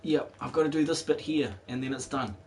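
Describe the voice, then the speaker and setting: A man's voice: mid-range voice, fairly even intonation, fairly quick, background noise. A man in his forties chatting casually while streaming a game, rambling and laughing easily, his voice still a bit worn from a cold. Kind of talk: casual chat while gaming.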